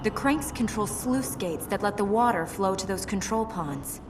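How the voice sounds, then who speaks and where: An actress playing a dry, determined young princess in a video game, terse and matter-of-fact. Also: female voice, medium pitch, expressive, normal speed, noisy background, natural voice. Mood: earnest, matter-of-fact. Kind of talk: video game cutscene acting. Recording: distant voice under music.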